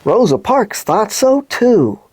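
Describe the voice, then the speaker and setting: A woman reading scripted stories and segments for children as a show presenter, with expressive, deliberate delivery. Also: medium pitch, breathy edge.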